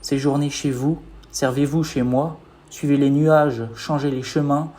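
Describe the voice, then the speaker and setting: A man's voice: on the high side, flat delivery, a little slow, a little mellow. A man reciting his own poem as spoken word, in a rhythmic, declamatory manner.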